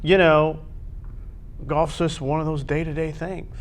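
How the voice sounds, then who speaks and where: Higher-voiced American man talking school sports. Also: little pitch movement, unhurried. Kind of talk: conversational sports commentary.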